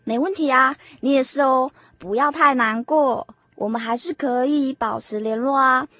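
An actress playing a gentle, caring young girlfriend in a radio drama, speaking in a consoling, measured way. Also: high voice, no echo. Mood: tender reassurance. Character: gentle, caring high-school girlfriend, devout and reassuring.

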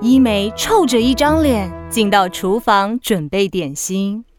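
An actress playing a gentle storybook narrator, reading scripted narration for a children's audio drama. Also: female voice, medium pitch, measured pace, soft background music, natural voice. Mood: storytelling.

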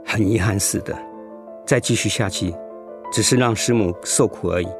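A man acting in a radio drama as a gentle, sympathetic doctor who explains and consoles in a measured way. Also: fairly low pitch, over music, close to an everyday voice. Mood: gentle, regretful. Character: gentle male doctor, calm, sympathetic hospital director.

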